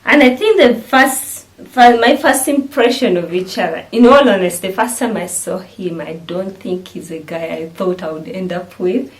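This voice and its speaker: A woman's voice: mid-range voice, moderate pace, few pauses. A woman in her thirties with a Ugandan accent, hosting a vlog in casual chat to the camera. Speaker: vlog host.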